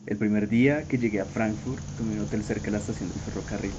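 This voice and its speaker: A man performs a young foreign traveler telling his tale in a radio drama. The voice is fairly low and moves quickly. It is muffled under loud ambient noise with buzzing insects. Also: natural voice. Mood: reflective narration. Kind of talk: radio theatre storytelling narration.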